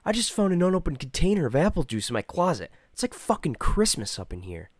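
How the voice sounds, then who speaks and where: Man playing an animated, excitable gamer guy. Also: on the high side, quick pace, breathy edge, no room sound, pitched above the performer's own voice. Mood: excited. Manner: animated, incredulous. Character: excitable, foul-mouthed, gullible young gamer guy. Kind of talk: comic character dialogue.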